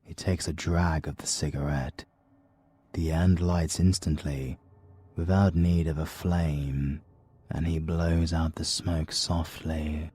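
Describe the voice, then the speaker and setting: A man with a British accent narrating an audiobook in slow, deliberate storytelling. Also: a bass voice, fairly even intonation, mellow, slightly rough. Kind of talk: scripted narration.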